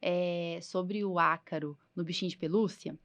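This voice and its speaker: A woman's voice: fairly low pitch, fairly even intonation, moderate pace, fairly bright tone. A woman with a Brazilian Portuguese accent, a doctor hosting a casual health podcast, chatty and explanatory with frequent tag questions. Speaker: podcast host, allergist doctor.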